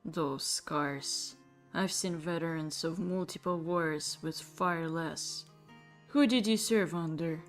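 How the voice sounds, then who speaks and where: An actress playing a composed, probing older elf veteran in a fantasy story. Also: female voice, low voice, slow pace. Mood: impressed. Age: middle-aged. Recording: music bed under the voice.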